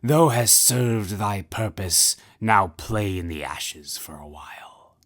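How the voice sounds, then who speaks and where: Man doing a slow contemptuous tyrant king. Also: fairly low pitch, expressive, a bit bright. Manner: slow, archaic, contemptuous commands. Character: cruel, arrogant male warrior king.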